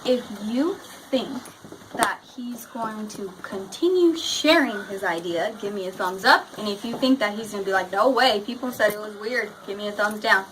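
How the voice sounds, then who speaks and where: A woman's voice: mid-range voice, moderate pace. A woman teacher leading an interactive read-aloud lesson, questioning and prompting her pupils in a school classroom. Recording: noisy classroom, clear background noise.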